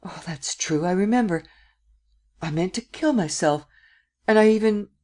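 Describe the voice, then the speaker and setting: A man's voice: on the high side, very animated, moderate pace, mellow. A man voicing a terrified, despairing young poet in an audiobook, stammering and pleading in anguish. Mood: dazed, anxious. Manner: anguished, stammering, gasping pleas. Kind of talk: dramatic audiobook character acting.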